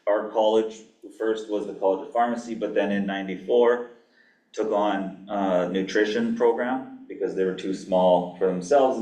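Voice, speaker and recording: A man from Canada giving an academic lecture as a guest pharmacy professor, with a flat monotone delivery, dry asides and many ums. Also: low-pitched. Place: lecture hall. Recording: echoey hall, mic far off.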